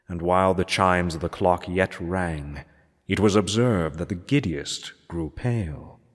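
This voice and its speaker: A man reading gothic horror fiction aloud as a dramatic narrator, in a theatrical, measured manner with deliberate pauses. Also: sits low. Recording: long cavernous reverb.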